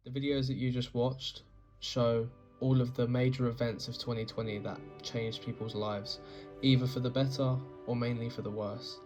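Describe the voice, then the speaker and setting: A man's voice: medium pitch, level tone, moderate pace, hardly any pauses. A man reading earnest narration for his own short film about a hard year. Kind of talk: read narration. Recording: music bed under the voice.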